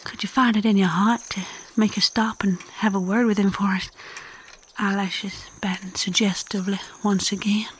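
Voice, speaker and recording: Woman acting a breathy, flirty country wife. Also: mid-range voice, level tone, moderate pace, slight background noise, lightly acted, rough recording. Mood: coy, helpful. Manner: coy, folksy, sultry. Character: flirtatious, shameless rural farm wife, narrating her own poses. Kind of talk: comedy western audio drama.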